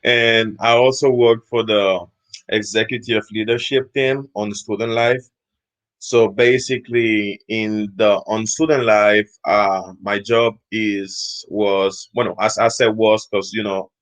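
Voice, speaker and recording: Young man with low, relaxed speech. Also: takes it slowly, rough recording. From Equatorial Guinea. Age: young adult. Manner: relaxed, rambling with fillers. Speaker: student guest. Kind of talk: casual interview answers.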